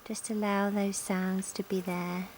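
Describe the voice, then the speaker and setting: A woman leading a guided yoga nidra relaxation in a calm, gentle, soothing manner with long pauses, recorded outdoors in a garden. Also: medium pitch, poor audio. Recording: outdoor recording with insect and nature noise. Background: insects, sounds of a sunny garden.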